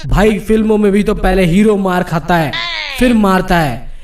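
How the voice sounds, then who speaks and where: Man playing a high-voiced, cocky cartoon cricket star. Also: moderate pace, natural voice. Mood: encouraging. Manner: swaggering, persuasive. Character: cocky, coaxing male star cricket batsman. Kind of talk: comic cartoon voice acting. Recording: distant voice under loud music.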